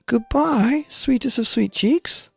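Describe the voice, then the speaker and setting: A man's voice: very high-pitched, slow pace, vocal fry, husky, no echo, faint music behind, pitched above the performer's own voice, heavily acted. A man voicing a doting, genteel mother in a children's storybook, polite, sugary and pleading. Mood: tender, sugary.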